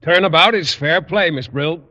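A man's voice: on the high side, normal speed, bright, forceful tone. An actor playing a mild-mannered, genial male teacher in a 1950s radio sitcom, earnest in tone. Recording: reverberant old studio recording, faint hiss.

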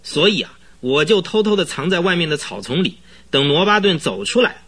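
Radio drama casting: easily spooked, chattering horse trainer, bright husky voice, dramatic storyteller. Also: male voice, on the high side, big pitch swings, brisk, exaggerated voice. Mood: agitated, secretive. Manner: rambling, dramatic storytelling full of interjections. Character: excitable, rambling, easily frightened male horse trainer witness.

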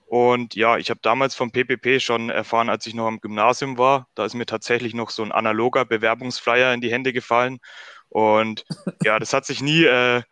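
A man's voice: mid-range voice, fairly even intonation, fairly quick, pushed and bright, clean tone, dry recording. A man in his early twenties from Bavaria, answering conversationally as a podcast guest. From northern Bavaria, Germany.

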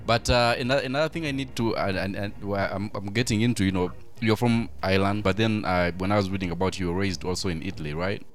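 A man speaking casually as an interview host, halting and repeating words as he thinks aloud. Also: fairly low pitch.

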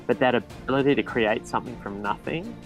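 A man's voice: medium pitch, expressive, a little fast, soft background music, low-quality recording. A man, a guest in a casual interview, talking with frequent ums and restarts. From New Zealand. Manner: hesitant, restarts with many ums.

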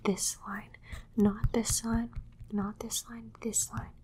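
A woman voicing a condescending female alien doctor in a soft whisper, giving matter-of-fact instructions in an ASMR exam roleplay. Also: on the high side, little pitch movement, slow pace, slight background noise. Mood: clinical, instructing. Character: female alien doctor, clinical, condescending, studying human captive.

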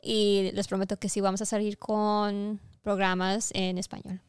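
A woman's voice: medium pitch, flat delivery, moderate pace, clear, resonant tone, no echo, studio quality. A woman co-hosting a podcast in casual, bantering chat. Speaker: podcast co-host.

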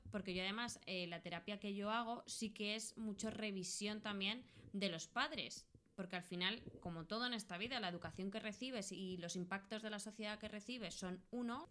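A woman with an accent from Spain co-hosts a casual chat show. Her voice is clearly bright and sits at an average pitch for a woman. She talks informally, as in everyday conversation. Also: a little hiss or hum. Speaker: podcast co-host.

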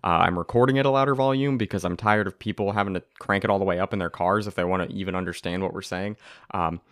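Young guy podcast host, lowish voice, dry close mic, tired but hyped, rambling and swearing. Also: fast, dry recording. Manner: excitable, rambling, swears, laughs often. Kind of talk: casual podcast rant.

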